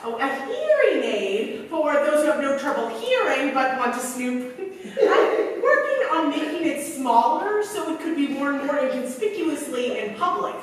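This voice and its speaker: A woman's voice: sits high, big pitch swings, a little slow. A woman playing a playful, theatrical female inventor with a teasing manner in a staged radio play. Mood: amused, teasing. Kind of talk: staged 1940s-style radio play acting. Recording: echoey hall from far off, faint noise.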